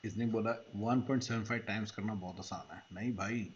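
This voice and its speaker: A man's voice: lowish voice, steady tone, a little fast, highs cut off, a little hiss or hum. A man hosting a reaction video, commenting sarcastically and mocking what he hears. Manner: mocking, puts on accents and laughs.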